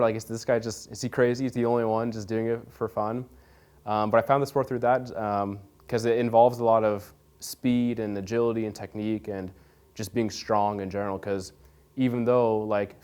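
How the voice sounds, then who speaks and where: A young man answers interview questions in a loose, rambling way, leaning on fillers and restarting his sentences. His voice sits low and sounds smooth and clear. He talks casually, like he is chatting with friends. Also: a little mellow. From Nebraska, USA. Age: young adult. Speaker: interview guest. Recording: radio studio interview.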